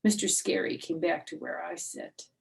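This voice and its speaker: A woman's voice: fairly low pitch, steady tone, moderate pace, gravelly. A woman reading a children's book aloud expressively as a storyteller, with explanatory asides.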